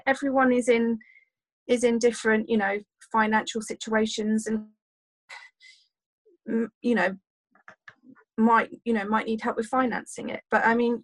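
Doula, voice going, rambling friendly interview answers, mid-pitch. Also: female voice, vocal fry, rough recording. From United Kingdom. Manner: rambling, many fillers and restarts. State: losing her voice. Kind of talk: casual podcast interview.

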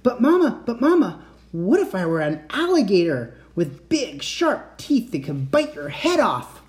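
A man's voice: very animated, a little slow, darkish tone. A man voicing a small boy who keeps asking his mother insistent questions at bedtime. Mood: pleading. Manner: high, pleading questions.

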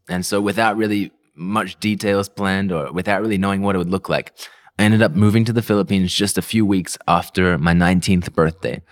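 Young australian man, very low voice, earnest sermon, personal storytelling. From Melbourne, Australia. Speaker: pastor. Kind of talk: devotional sermon talk.

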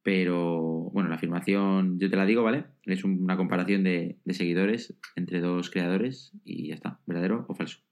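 A man's voice: low voice, steady tone, moderate pace, hardly any pauses, a little mellow. A man hosting an interview podcast, friendly and a bit hesitant, with many restarts as he sets up questions. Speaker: podcast host.

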